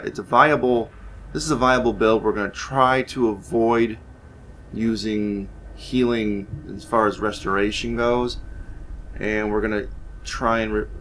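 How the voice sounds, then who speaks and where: A man's voice: on the low side, fairly bright tone, slight background noise. A man hosting a gaming series, talking informally as he explains his roleplay playthrough and tells its story. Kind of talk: roleplay let's play commentary with story narration.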